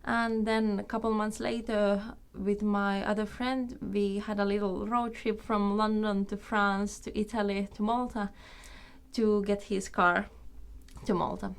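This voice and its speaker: Creaky-voiced Finnish woman vlogger. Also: medium pitch, flat delivery, a little slow. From Finland. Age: 20s. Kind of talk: casual personal storytelling to camera. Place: small room indoors.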